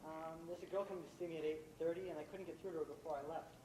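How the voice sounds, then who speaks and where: A man's voice: on the high side, fairly even intonation, racing, dark tone, close to an everyday voice. A man playing a polite, wary young would-be playwright in a live stage play, hesitant and evasive in manner. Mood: nervous, evasive. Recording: distant, echoey, noisy amateur stage recording.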